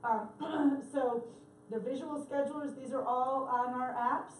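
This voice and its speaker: A woman with a slightly high voice leads a workshop in a chatty, self-correcting way, her voice worn from talking. She is picked up from across an echoey meeting room, with a faint hiss underneath. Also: steady tone, a little slow, distant mic. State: losing her voice, coughing. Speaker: workshop presenter. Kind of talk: casual workshop presenting.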